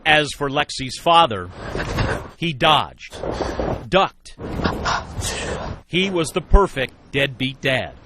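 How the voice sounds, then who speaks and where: High-voiced male TV feature reporter. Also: sing-song, takes it slowly, long pauses, heavy background noise. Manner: emphatic storytelling delivery in short phrases. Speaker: television news reporter. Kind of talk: scripted feature narration.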